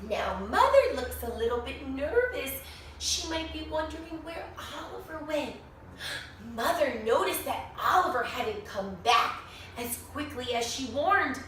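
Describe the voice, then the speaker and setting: A woman reading a children's storybook aloud expressively, with dramatic pauses and questions to her young listeners. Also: sits high, animated, a bit bright. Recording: echoey room, distant mic, faint noise.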